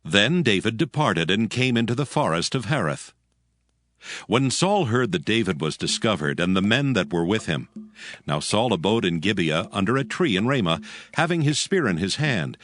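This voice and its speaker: A man narrating scripture from a script in a solemn, measured way. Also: lowish voice, very animated, fairly bright tone.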